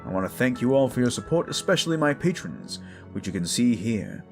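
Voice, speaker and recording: A male audio creator chats casually and off the cuff to his listeners at a medium pitch. He has a cold with a sore throat, and music plays behind him. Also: sing-song, brisk, creaky. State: under the weather with a sore throat and runny nose. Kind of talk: casual unscripted update to listeners.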